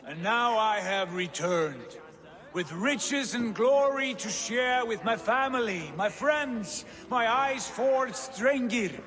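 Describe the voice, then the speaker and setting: Brief: a bragging young Viking prince, higher-pitched, unhurried, big hearty delivery. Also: male voice, lively intonation, a bit bright, exaggerated voice. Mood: boastful. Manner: boisterous, hearty, grandiose storytelling. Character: boastful young Viking prince, loud and jovial. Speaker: game character. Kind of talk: cinematic game acting. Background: faint game score music.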